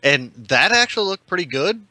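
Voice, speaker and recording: A man's voice: high-pitched, big pitch swings, fairly quick, sharp tone, dry recording. A man speaking casually as a panelist in a podcast discussion. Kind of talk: casual group chat.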